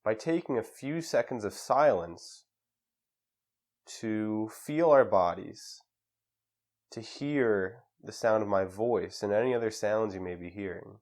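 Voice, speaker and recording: A man leading a guided meditation in a calm, measured way with pauses between phrases. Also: on the low side.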